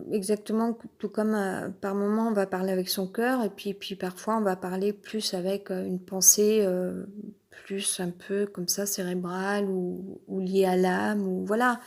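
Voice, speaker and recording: A woman's voice: medium pitch, darkish tone. A woman with a head cold talking off the cuff in a rambling, hesitant monologue, full of fillers and restarts. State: has a cold, stuffy. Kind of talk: improvised personal monologue. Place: uncomfortable spot with noise overhead.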